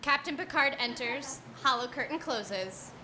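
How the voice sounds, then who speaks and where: A woman's voice: sits high, expressive, unhurried, roomy sound, noisy background. A woman reading stage directions as scripted narration at a live staged reading of a comedy musical. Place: live stage at a theater festival.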